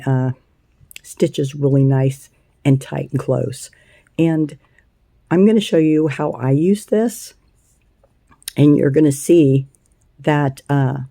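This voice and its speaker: A woman with a scratchy voice hosting a casual crochet tutorial, chatty and unhurried, with plenty of ums and uhs. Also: a bass voice, gravelly, dark tone, no echo, studio quality. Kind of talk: casual hands-on craft tutorial.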